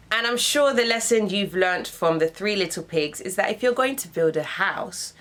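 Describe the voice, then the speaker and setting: A young adult woman reading children's stories aloud as a host, with dramatic, deliberate emphasis. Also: mid-range voice, a bit bright. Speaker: radio host, storyteller. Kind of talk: expressive children's storybook narration.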